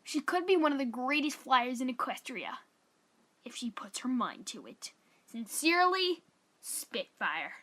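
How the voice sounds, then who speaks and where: A woman's voice: high-pitched, sing-song, measured pace, pushed and bright, heavily acted. A woman voicing a formal, stern female cartoon pony captain, firmly reading out an official letter. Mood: earnest, persuasive. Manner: formal, firm, earnest.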